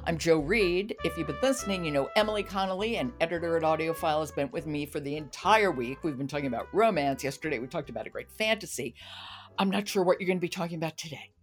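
Woman podcast host, conversational, lowish register, talking books. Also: quick pace, faint music behind. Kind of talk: conversational book podcast hosting.

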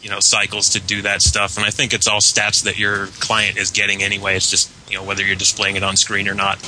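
A man with a lowish, very bright and sharp voice explains technical matters as a podcast guest. He is casual and measured, with some hesitation, over faint background noise. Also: monotone, poor audio. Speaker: guest and server admin.

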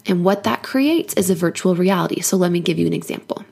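A woman hoarse from a cold, giving an earnest, encouraging solo podcast talk as a life coach. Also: lowish voice, animated, fast, darkish tone.

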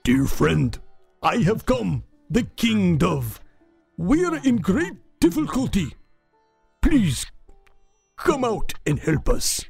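A man voicing a wise, noble king of doves, declaiming slowly and grandly in a storybook reading. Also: sits high, sing-song, gravelly, a little mellow, breathy edge, exaggerated voice. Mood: solemn pleading. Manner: slow, grand, theatrical declaiming. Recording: long reverb with music behind.

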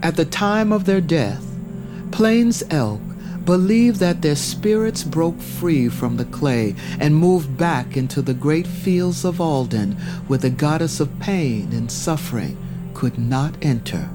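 A man giving solemn, measured, scripted narration of a dramatic fantasy tale. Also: fairly high pitch, lively intonation. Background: prominent music.

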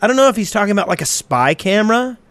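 A man's voice: sits high, very animated, very fast, fairly bright tone, dry recording. A man co-hosting a gaming podcast, talking casually about game ideas. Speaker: podcast co-host.

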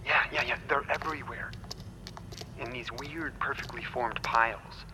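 A man's voice: mid-range voice, steady tone, measured pace, close to an everyday voice. A man in an audio drama playing a nervous, hesitant, nerdy tech support worker. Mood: anxious, insistent. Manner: hesitant, stammering. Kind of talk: naturalistic audio drama acting. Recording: faint ambient noise.

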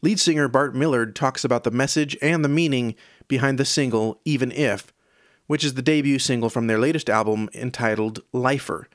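A man's voice: medium pitch, moderate pace, no room sound, clean studio sound. A man hosting an audio newsletter, reading scripted music news in a presenter's style. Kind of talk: scripted narration. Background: background music.

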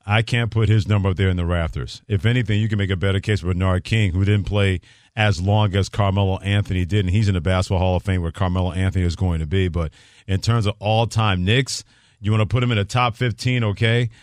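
A man's voice: low voice, steady tone, quick pace, a little mellow, no room sound, studio quality. A man with an American accent co-hosting sports talk radio, giving opinions emphatically and repeating points.

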